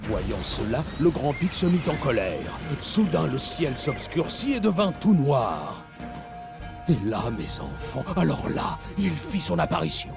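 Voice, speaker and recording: A man playing an old grandfatherly cartoon animal who tells legends to children in a slow, dramatic, gravelly way. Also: a little high, big pitch swings, heavily acted. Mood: solemn, dramatic. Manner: slow dramatic storytelling with theatrical pauses. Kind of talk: animated series dub, storytelling. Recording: music behind the voice.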